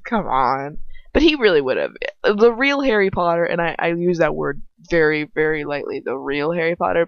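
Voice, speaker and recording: A woman talking casually in a rambling, opinionated, slangy way, with some swearing, as she comments on a story she is reading aloud. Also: lowish voice. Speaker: amateur fan fiction reader. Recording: roomy, slightly dull home recording.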